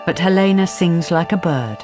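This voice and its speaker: A woman's voice: on the low side, animated, normal speed, over music, lightly acted. A woman acting as the composed, wry narrator of a detective radio drama, reading scripted narration.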